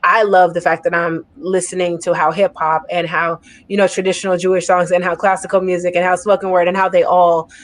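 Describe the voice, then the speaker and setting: A woman poet on a discussion panel, talking in an energetic, emphatic way and often checking in with "right?". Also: on the low side, a little fast, hardly any pauses.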